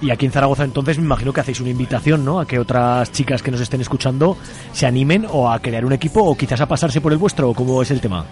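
A man's voice: medium pitch, racing, darkish tone, faint music behind. A man with a Spanish accent from Spain hosting a casual radio interview in an informal, chatty way. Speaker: radio show host interviewing guests.

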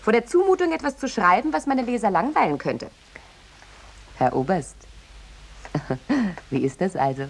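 An actress playing a brazen, self-serving newspaper reporter in a 1950s German radio satire, with an insistent, glib, theatrical manner. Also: female voice, mid-range voice, sing-song, a little slow, long pauses, slight background noise. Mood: imperious.

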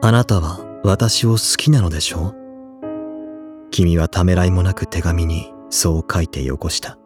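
An actor playing a tormented young man in an audio drama, grave and measured as he confesses a guilty love. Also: sits low. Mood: brooding, yearning.

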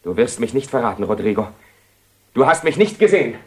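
An actor playing a restless, brooding young nobleman and doubting bridegroom in a theatrical radio drama, with a husky voice. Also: mid-range voice, very animated, brisk.

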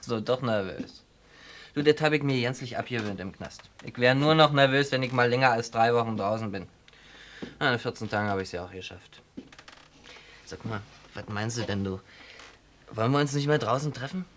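A man acts out a cheeky jailbird in a radio drama. He needles and cajoles like an old chum, in an easy colloquial manner at a middling pitch, over faint noise. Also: normal speed, fairly bright tone, lightly acted. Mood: teasing, chummy. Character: cocky, teasing habitual small-time thief in jail. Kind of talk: German radio play acting.

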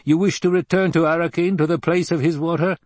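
A man's voice: on the high side, steady tone, quick pace, a little mellow, no echo, pitched above the performer's own voice, close to an everyday voice. A man voicing a proud male desert warrior in an audiobook, blunt and matter-of-fact, eager about battle. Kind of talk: audiobook character dialogue.

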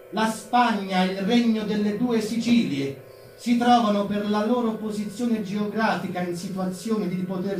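A man delivering dramatic theatrical narration of history, declamatory and emphatic. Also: sits high. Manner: declamatory, emphatic, measured pauses. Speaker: stage actor, narrator. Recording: roomy, distant stage sound with music.

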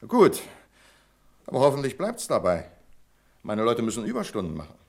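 Pompous bank manager, swooping intonation. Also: male voice, mid-range voice, huge pitch swings, moderate pace, gravelly, background noise. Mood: confiding, self-satisfied. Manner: pompous, officious, hesitating with small fillers. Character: male bank branch manager, fussy, self-important, easily indignant. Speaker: actor in a radio play. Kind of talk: German radio drama acting.

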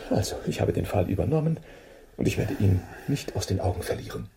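An actor playing a keen, self-assured male detective in a German radio drama, deliberate and musing as he questions and deduces. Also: deep voice, lively intonation, vocal fry, noisy background, full character voice. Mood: measured, reassuring.

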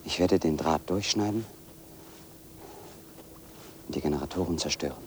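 An actor playing a solitary, brooding man who narrates his own walk in a measured, deliberate way, as in a radio play. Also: fairly low pitch, darkish tone, a little hiss or hum, natural voice. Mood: grimly resolved. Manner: measured, deliberate, literary first-person narration. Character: solitary brooding man walking into a dark forest. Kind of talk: radio play interior monologue.

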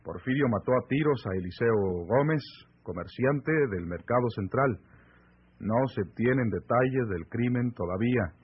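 An actor playing a stern, questioning rural man with a Mexican accent, speaking deliberately and emphatically in an old radio serial. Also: medium pitch, lively intonation, close to an everyday voice. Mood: grave. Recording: noisy, dull vintage AM radio recording.

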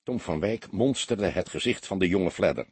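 A man's voice: sits low, expressive, normal speed, darkish tone, husky, dry recording. A man reading a crime story aloud as an audiobook narrator, in a dramatic scripted style.

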